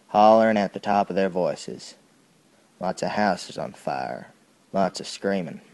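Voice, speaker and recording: Folksy old-time soldier, low voice, plain talk, telling his story in an audio drama. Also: a little mellow. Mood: plain, emphatic. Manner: folksy, ungrammatical, plain-spoken. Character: young army private recalling frightened boyhood, plain-spoken, 1870s. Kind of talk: dramatic monologue, first-person audio drama account.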